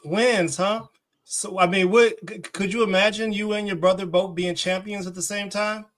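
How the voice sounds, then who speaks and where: A man's voice: high voice, fairly even intonation, moderate pace, a bit bright. A man co-hosting an interview in casual, playful, slangy talk. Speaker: co-host.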